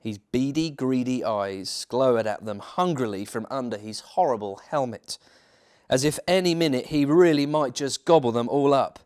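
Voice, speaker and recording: A man reading a children's bedtime story as a church minister, theatrical, with dramatic pauses and emphasis. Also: mid-range voice, a little mellow, smooth.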